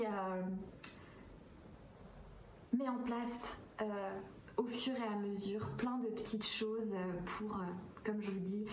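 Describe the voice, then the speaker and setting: A woman's voice: mid-range voice, a little mellow. A woman in her thirties with a Swiss French accent, chatting casually to camera in a vlog, hesitant, with many filler sounds. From Switzerland. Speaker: vlogger and coach. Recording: roomy echo, from a distance, faint background hiss.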